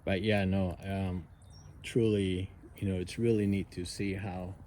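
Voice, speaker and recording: Laid-back man with a soft, low voice. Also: little pitch movement, unhurried, a little hiss or hum. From United States. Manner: laid-back, rambling, many fillers. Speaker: outdoor vlogger. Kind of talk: casual rambling chat to camera. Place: outdoors by a fire pit.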